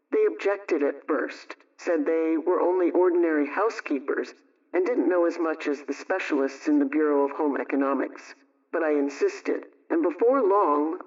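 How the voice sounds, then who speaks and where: A man reading an old household radio script aloud in the first person, in an even, unhurried way. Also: a little high, distant mic. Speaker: reader. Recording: dull lo-fi sound, away from the mic.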